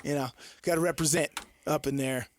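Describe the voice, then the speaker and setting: A man co-hosting sports radio in a casual, upbeat and rambling, halting way on a close studio mic. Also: on the high side, steady tone, slight background noise. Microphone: close studio radio microphone.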